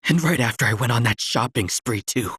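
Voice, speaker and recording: A harried, complaining townsman from a video game. His voice is husky and recorded dry, and he mutters in exasperation. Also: mid-range voice, expressive, a little fast, fairly bright tone, no room sound. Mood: exasperated. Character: harried, complaining townsman fretting over money.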